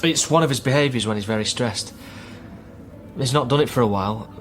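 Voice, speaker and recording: A man's voice: medium pitch, a little fast, a bit bright, natural voice. An actor playing a worried, guarded British man in a naturalistic radio drama, speaking in an earnest, hesitant way. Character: worried, guarded divorced son caring for troubled father. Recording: faint music under radio drama.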